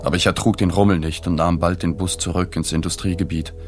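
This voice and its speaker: Actor doing deep voiced reporter narrating a radio play, sober, observant, bit of music behind. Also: male voice, fairly even intonation, fast talker, natural voice. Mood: sober, reflective. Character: male journalist narrating his story, sober, observant, detached. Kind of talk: radio drama first-person narration.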